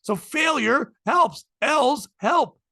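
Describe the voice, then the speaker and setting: A man's voice: very high voice, lively intonation, drawn-out words, creaky, pushed and bright, no echo, clean studio sound. A man in his forties, a podcast host, chatting casually and candidly, rambling and swearing freely. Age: 40s. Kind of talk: casual chat.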